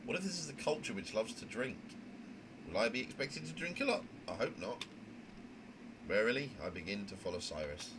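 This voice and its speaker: A man's voice: on the low side, big pitch swings, a little fast. A man voicing an anxious, rule-bound young trainee in a visual novel, earnest and flustered, stammering with indignation. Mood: wary, musing. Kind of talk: amateur voice acting of a visual novel. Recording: noisy home recording.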